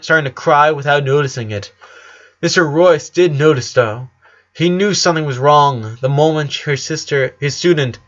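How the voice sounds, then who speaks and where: A man's voice: on the high side, a bit bright. Man reading a story, slightly dull sound. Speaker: narrator of a fan fiction story. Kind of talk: scripted narration, audiobook reading.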